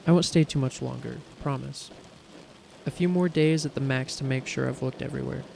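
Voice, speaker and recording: A man voicing a worried, stubborn young woman in a soft, anxious, pleading way for a dramatic story reading. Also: mid-range voice, fairly quick, vocal fry, a little hiss or hum, voice pushed up. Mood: tender.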